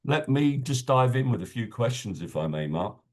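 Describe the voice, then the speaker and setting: A man's voice: mid-range voice, big pitch swings, normal speed. A man hosting a business webinar, introducing his guest and asking questions politely, with many filler sounds. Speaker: webinar host and peer group chair.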